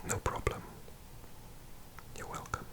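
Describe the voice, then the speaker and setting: A person giving an ASMR language lesson in a breathy whisper, softly repeating words in an unhurried way. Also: rough recording. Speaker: language teacher.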